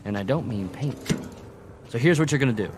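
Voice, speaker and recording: An actor playing a bullying, slick corporate sponsor boss in a comic video game. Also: male voice, mid-range voice, lively intonation, brisk, noisy background, natural voice. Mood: slick, wheedling. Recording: music behind the voice.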